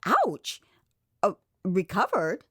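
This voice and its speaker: A woman's voice: mid-range voice, wildly swooping pitch, slow pace, sharp tone, slightly rough, exaggerated voice. A woman voicing a flustered young American volunteer, stammering and begging emphatically in an audio drama. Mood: flustered, anxious.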